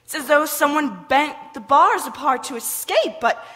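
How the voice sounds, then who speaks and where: A woman's voice: high-pitched, expressive, normal speed, a bit bright. An actress playing an insistent, suspicious, alarmed woman in 1940s radio drama style, melodramatic in delivery. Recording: live stage, long hall reverb.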